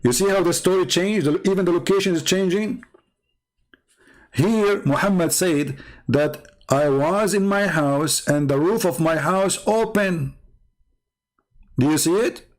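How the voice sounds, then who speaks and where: A man's voice: sits high, measured pace. A man with an Arabic accent, a native Arabic speaker, giving informal, argumentative religious commentary in a mocking, incredulous manner full of rhetorical questions. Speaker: host of a religious commentary show. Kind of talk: informal polemical commentary on read-out texts.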